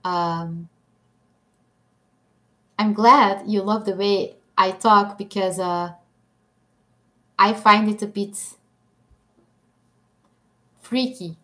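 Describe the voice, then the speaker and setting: A young woman with a Belgian Dutch accent, chatting unhurriedly in English on a casual live stream. She giggles easily and is quick to tease herself, her voice sitting at a middle pitch and moving along at a leisurely pace. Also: fairly even intonation, pushed and bright. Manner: chatty, giggly, self-deprecating. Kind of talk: casual live stream chat reading comments.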